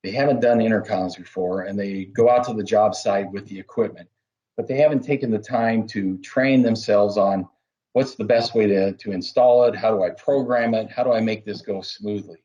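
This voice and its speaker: A man giving explanatory interview answers as a business development manager, halting at times and laying out points one by one. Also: fairly low pitch, steady tone, dark tone, muffled recording.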